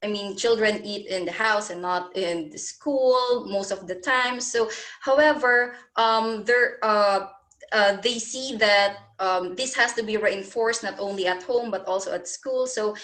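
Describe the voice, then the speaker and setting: Filipino woman, conference presenter, earnest and explanatory, plenty of ums, mid-pitched voice, video call audio. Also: measured pace, a bit bright. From Philippines. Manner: earnest, explanatory, many um fillers. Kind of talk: academic answer in a Q&A.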